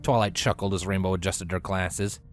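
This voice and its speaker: A man's voice: sits low, steady tone, normal speed, soft background music. A man giving scripted narration of a fan fiction story as the narrator.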